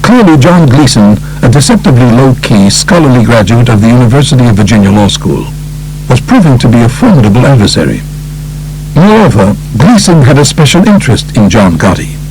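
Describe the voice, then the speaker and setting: An older man with a gravelly voice reads a true crime book as a scripted audiobook narrator. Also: medium pitch, very animated, fairly quick, darkish tone, faint music behind, low-quality recording.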